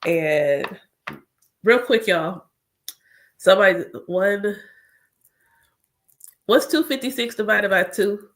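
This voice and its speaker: A woman's voice: mid-range voice, slow, pauses often, fairly bright tone. A woman and spiritual coach, congested, chatting casually and directly to her viewers about her own experience. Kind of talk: casual chat.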